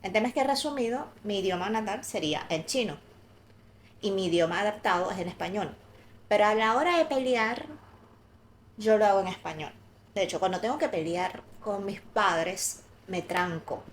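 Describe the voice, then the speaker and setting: A woman co-hosting a podcast, telling personal stories candidly in a relaxed chatty way. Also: medium pitch, normal speed, a little hiss or hum. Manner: candid storytelling with light laughter. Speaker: podcast co-host. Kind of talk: casual conversational podcast chat.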